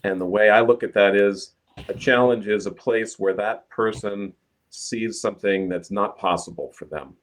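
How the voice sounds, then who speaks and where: A man from the United States, a guest life coach explaining his work calmly on a video call. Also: fairly low pitch, moderate pace, low-quality recording. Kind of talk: explanatory chat.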